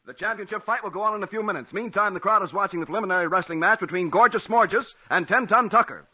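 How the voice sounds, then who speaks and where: An actor playing an excitable ringside sports announcer in a 1940s radio comedy. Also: male voice, high-pitched, fast talker, husky, exaggerated voice. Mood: excited. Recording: noisy vintage radio recording.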